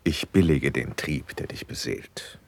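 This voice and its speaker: An actor playing a benevolent, dignified prince in a classical verse radio drama, speaking in a husky voice with measured, paternal declamation. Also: male voice, a bass voice, exaggerated voice. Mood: gracious, advising.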